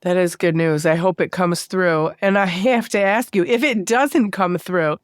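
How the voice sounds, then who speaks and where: A woman's voice: lowish voice, a little slow, dry recording, studio quality. A woman hosting a podcast interview, sounding congested from a bad cold and asking earnest, concerned questions. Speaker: podcast host.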